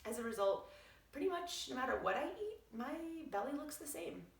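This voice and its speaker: A woman reading aloud as a doctor explaining things in a measured, articulate, explanatory way. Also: sits high, sing-song, creaky. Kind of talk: read-aloud narration with brief asides. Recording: roomy echo, distant from mic.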